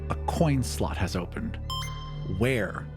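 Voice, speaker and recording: A man narrating a tabletop game as game master, with emphatic, vivid and deliberate storytelling. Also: fairly low pitch, animated. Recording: music bed behind the voice.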